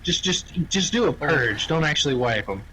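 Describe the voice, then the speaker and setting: A man's voice: fairly high pitch, very animated, normal speed, pushed and bright, slight background noise, low-quality recording. A man chatting casually during game roleplay, speaking bluntly with plenty of swearing. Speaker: roleplay gamer.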